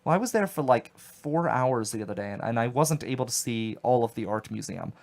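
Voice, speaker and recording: A man's voice: medium pitch, expressive, brisk, gravelly, a little mellow. Rambling chatty male radio host. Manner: rambling, chatty, lots of fillers. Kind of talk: casual radio chat.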